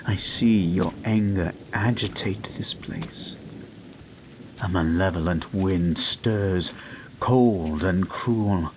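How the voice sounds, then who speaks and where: A man playing a creepy, obsessive hypnotist therapist in a dark guided-meditation roleplay, speaking in a hushed, deliberate, poetic way. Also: fairly low pitch, slight background noise, poor audio. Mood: tender, ominous. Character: creepy, obsessive male hypnotist therapist, tenderly menacing, unshakeable.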